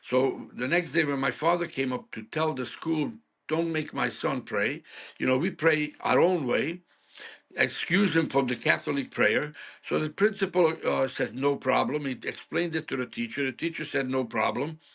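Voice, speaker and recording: Gravelly older man. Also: medium pitch, normal speed, fairly bright tone. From Hungary. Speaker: guest. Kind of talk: storytelling interview.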